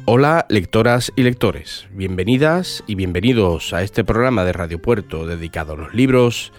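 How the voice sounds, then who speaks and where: A man's voice: on the low side, lively intonation, normal speed, faint music behind. A man hosting a radio book program, his voice nasal and congested from a cold, talking in a hesitant way with many fillers and restarts. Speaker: radio book show host. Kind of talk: casual radio interview.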